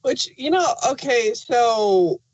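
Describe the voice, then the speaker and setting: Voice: mid-range voice, lively intonation, takes it slowly, no room sound, lo-fi sound. A podcast reviewer chatting casually and puzzling things out aloud. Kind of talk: casual chat reviewing an audio drama.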